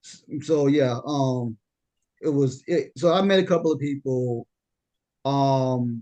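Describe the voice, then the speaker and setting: A young man with a deep voice hosting a casual podcast chat, often stammering and repeating words as he tells stories. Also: warm tone, muffled recording. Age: young adult. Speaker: podcast host.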